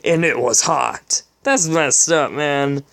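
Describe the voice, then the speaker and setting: Slow-paced amused man reading aloud. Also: a little high, creaky, a bit bright. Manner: breaks into giggles and asides while reading. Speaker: channel host reading a fan fiction aloud. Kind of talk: amused dramatic reading with reaction commentary.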